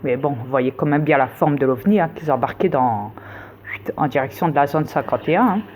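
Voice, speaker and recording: A woman's voice: very deep voice, fairly quick, a little mellow, a little hiss or hum. A woman lecturing viewers insistently in a rambling casual monologue, with many fillers. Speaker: conspiracy video host.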